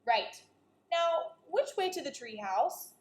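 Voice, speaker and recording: A woman voicing a cautious, easily frightened boy in a storybook, with urgent, expressive questions and exclamations. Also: high-pitched, slow pace, echoey room, voice pushed up. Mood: worried, practical.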